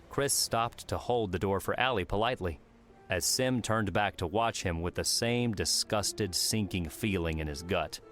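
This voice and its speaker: Male narrator, scripted novel reading, fairly low register, light music bed. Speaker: audiobook narrator. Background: soft music.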